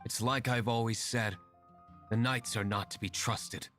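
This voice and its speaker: A man's voice: medium pitch, fairly even intonation, unhurried, fairly bright tone, soft background music, close to an everyday voice. An actor playing a stern young nobleman vigilante in a video game, speaking in a cool, curt, scornful manner.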